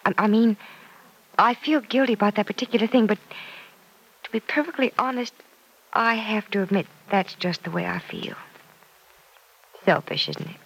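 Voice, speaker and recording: An actress playing an earnest, self-doubting young woman in an old-time radio serial, confiding in a hesitant, soft-hearted way. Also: mid-range voice, moderate pace, vocal fry, long pauses, slightly rough, poor audio. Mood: confused, confiding. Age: young adult.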